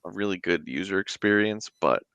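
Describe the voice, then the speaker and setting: A man's voice: low voice, lively intonation, a little fast, creaky, fairly bright tone, no echo, muffled recording. A man speaking as a guest in a casual interview, with a rambling, conversational delivery. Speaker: guest, indie tabletop game creator.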